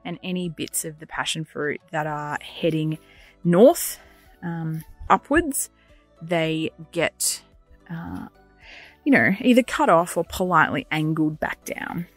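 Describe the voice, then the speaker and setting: Low-voiced woman, chatty tour guide. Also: no echo. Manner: informal, chatty, practical advice-giving. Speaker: host giving a garden tour. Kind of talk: casual walk-and-talk vlog narration. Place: outdoors in a large netted garden run.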